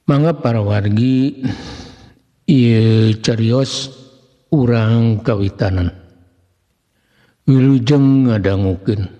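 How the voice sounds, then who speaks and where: A man with a Sundanese accent narrates a dramatic serial story, his voice wrapped in long hall reverb. He speaks slowly and formally, at a medium pitch, measuring out each phrase as a storyteller. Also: dark tone, muffled recording.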